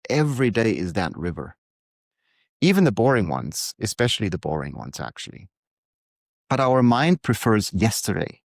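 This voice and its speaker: A middle-aged man, speaking calmly and reflectively in a prepared monologue to his readers. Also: lowish voice, creaky, no room sound, clean studio sound. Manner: calm, unhurried, reflective. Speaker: newsletter author and coach.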